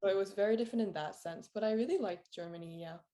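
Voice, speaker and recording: A woman's voice: medium pitch, fast, mellow. A teenage girl chatting casually as an interview guest, laughing often and filling her talk with "like". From Philippines. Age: teens. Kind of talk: casual chat.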